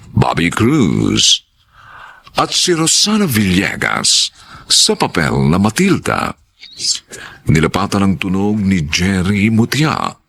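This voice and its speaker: Deep, swooping male radio announcer. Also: vocal fry, a bit bright, breathy edge. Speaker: radio drama announcer and host. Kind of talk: formal scripted announcing, old-fashioned radio drama.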